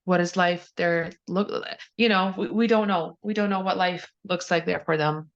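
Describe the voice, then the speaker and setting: A woman's voice: fairly low pitch, fairly even intonation, moderate pace. A woman from Ukraine answering interview questions, hesitant, with many fillers and restarts. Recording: dull lo-fi audio.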